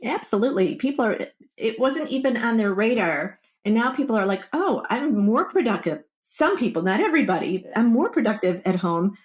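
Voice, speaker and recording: A woman's voice: a little high, lively intonation, a little fast. A woman speaking as a wellbeing coach in an interview, explaining her advice conversationally and voicing little example quotes. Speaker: wellbeing coach, podcast guest.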